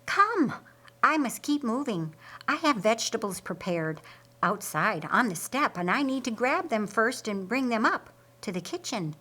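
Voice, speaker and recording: A woman voicing a busy, welcoming female host in a story, with a brisk manner. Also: fairly high pitch, sing-song, bright, forceful tone, heavily acted. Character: brisk, welcoming, busy young hostess Esther.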